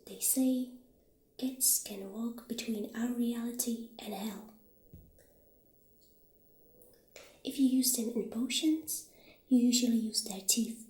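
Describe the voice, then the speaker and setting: A woman playing a friendly witch shopkeeper in a soft-spoken ASMR roleplay, half-whispering gently with hesitant pauses. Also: a little high, echoey room, lightly acted. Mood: hushed, eerie. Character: friendly witch shopkeeper showing off potion ingredients.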